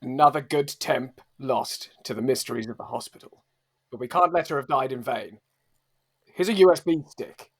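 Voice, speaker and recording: A man's voice: medium pitch, moderate pace, husky. A man performing improvised comedy dialogue as one of the players.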